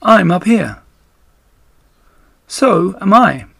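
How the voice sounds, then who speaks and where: A tiny young female spider from a children's storybook, played by a man, pitched up high. She is friendly and eager, speaking politely with a slightly husky, creaky edge. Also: lively intonation, extremely slow, fairly bright tone, no room sound, clean studio sound, full character voice. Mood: friendly, welcoming. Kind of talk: children's storybook read-aloud.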